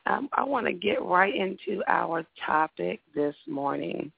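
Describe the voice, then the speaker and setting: Woman, raspy, gravelly, radio talk host, upbeat, repeats words, dry phone-like sound. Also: on the low side, animated, unhurried, no echo. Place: radio studio.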